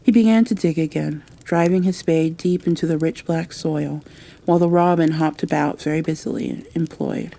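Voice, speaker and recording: A woman's voice: deep voice, very animated, normal speed, gravelly, warm tone. A woman narrating a bedtime storybook in an ASMR style.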